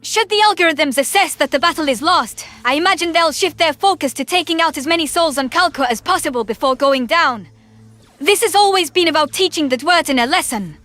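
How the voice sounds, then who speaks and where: A woman acts as an earnest human crew member in a sci-fi audio drama. Her voice is strikingly high and sharply bright. She speaks politely and formally, like a thoughtful advisor explaining a grave matter. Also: lively intonation, a little fast. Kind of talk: sci-fi audio story acting.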